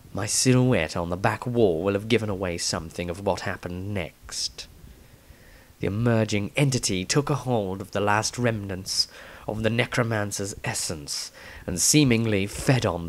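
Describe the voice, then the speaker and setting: A man voicing a brooding, sinister fantasy diarist, deliberate, scheming and disdainful, in a dramatic story reading. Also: on the low side, close to an everyday voice. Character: brooding sinister male diarist losing control to dark entity. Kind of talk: dramatic fantasy diary narration.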